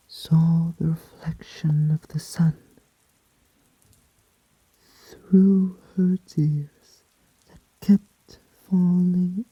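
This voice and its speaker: A woman softly narrating an ASMR bedtime story in a hushed, breathy whisper, with long pauses between short phrases. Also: sits low. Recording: muffled sound with rain behind. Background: soft rain.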